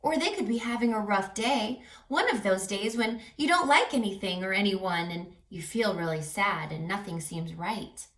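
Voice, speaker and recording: A woman voicing kind forest animals from a children's storybook in a gentle, coaxing, expressive way. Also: medium pitch, moderate pace, few pauses, natural voice. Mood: gentle, concerned. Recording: echoey room, distant mic, music.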